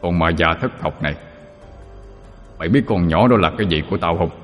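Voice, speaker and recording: A man voicing a stern, protective old male ghost father with a Southern Vietnamese accent, gruff and scolding. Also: deep voice, fairly quick, highs cut off, lightly acted. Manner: gruff, scolding, tender toward his daughter. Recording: reverberant, faint background music.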